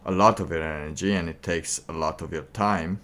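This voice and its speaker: A man livestreaming a casual monologue in a halting, rambling way, with phrases that trail off. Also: deep voice, a bit bright.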